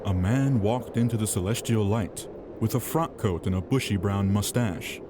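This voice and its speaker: A man's voice: on the low side, moderate pace, background noise. A man reading scripted narration for an audio drama. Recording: music under the voice.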